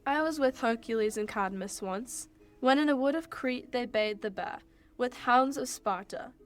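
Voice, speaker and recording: Young woman as high-voiced warrior queen. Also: normal speed, soft background music, close to an everyday voice. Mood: proud, admiring. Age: teens or young adult. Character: proud warrior queen reminiscing grandly of hunts. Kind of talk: Shakespearean verse in a 1940s-style radio play.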